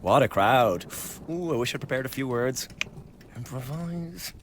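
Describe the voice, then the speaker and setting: An actor playing a sardonic, contemptuous young man who mocks his father with glib, lively remarks in a radio drama. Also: medium pitch, unhurried, a little hiss or hum, lightly acted. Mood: mocking. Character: mocking, cold young man, the returning son.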